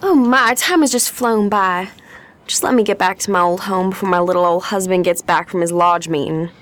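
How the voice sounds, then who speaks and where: A woman's voice: mid-range voice, moderate pace, fairly bright tone, lightly acted. A woman playing a chatty, folksy Southern belle at cards in a 1920s period radio drama, with a Southern US accent. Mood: folksy, sweet. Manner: folksy, sweet, gushing.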